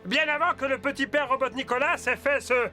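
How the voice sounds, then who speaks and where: A man's voice: extremely high pitch, steady tone, a little fast, bright, forceful tone, exaggerated voice. A man voicing a childish, taunting robot henchman villain in a French-dubbed cartoon, stretching words theatrically. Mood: gleeful taunting. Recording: music under the voice.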